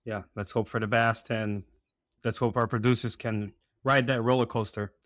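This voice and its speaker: A man's voice: fairly low pitch, steady tone, normal speed, fairly bright tone, no echo, clean studio sound. A man with a Brazilian accent mixed with a Minnesotan one, a dairy consultant chatting casually and upbeat on a podcast, sometimes repeating words as he starts a thought. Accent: Brazilian Portuguese mixed with Minnesotan.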